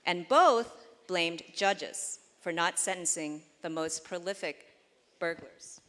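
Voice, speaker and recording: Woman, measured earnest read of a tribute, long hall echo. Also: mid-range voice, a bit bright. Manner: measured, earnest reading with small restarts. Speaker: speaker giving a tribute. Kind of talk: read prepared remarks.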